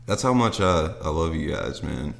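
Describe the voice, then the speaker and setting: A man's voice: extremely low pitch, lively intonation, moderate pace, vocal fry. A young man co-hosting a casual podcast, laid-back and agreeable. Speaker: podcast co-host. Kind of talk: casual chat.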